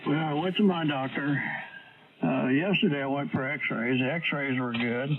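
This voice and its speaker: A man chats casually over amateur radio in a mid-pitched voice. The transmission is very narrow, like a phone line, with a light layer of static. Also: steady tone, a little slow.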